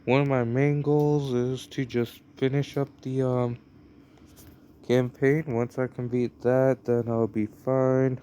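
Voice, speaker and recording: Slow, rambling young American man. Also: mid-range voice, little pitch movement, warm tone, slight background noise. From United States. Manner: rambling, hesitant, many fillers. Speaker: gameplay commentator. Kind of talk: casual gameplay commentary.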